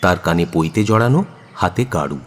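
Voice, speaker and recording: Male narrator, low-pitched, husky, very expressive intonation, audio story. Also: normal speed. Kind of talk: scripted dramatic story narration.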